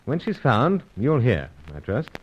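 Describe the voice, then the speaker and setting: An actor playing a suave, unflappable secret agent in a radio adventure serial, with dry, urbane, old-fashioned phrasing. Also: male voice, medium pitch, huge pitch swings, unhurried, breathy edge, exaggerated voice. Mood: firm, dismissive. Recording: dull lo-fi old radio recording.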